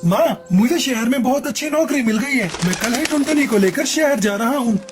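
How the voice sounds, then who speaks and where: Woman as a slightly high-voiced dutiful pigeon husband. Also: expressive, moderate pace, over music. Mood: matter-of-fact. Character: young male pigeon, dutiful husband and son.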